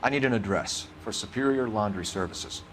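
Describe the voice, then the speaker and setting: Low-voiced, stern actor as a police detective. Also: male voice, brisk, fairly bright tone, slight background noise, close to an everyday voice. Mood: businesslike. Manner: formal, clipped, pressing questions. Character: stern, probing male police detective, confrontational. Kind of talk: video game dramatic acting, interrogation.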